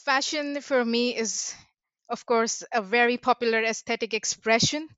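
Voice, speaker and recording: Woman, fashion blogger, hesitant, slightly high, dull dry recording. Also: normal speed, fairly bright tone, clear, resonant tone, dry recording. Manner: hesitant, many fillers. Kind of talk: interview answer.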